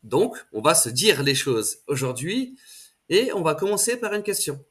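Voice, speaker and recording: A man hosting a relaxed interview, welcoming his guest and asking direct, friendly questions. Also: fairly high pitch, normal speed, slightly rough. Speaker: host. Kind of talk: casual interview chat.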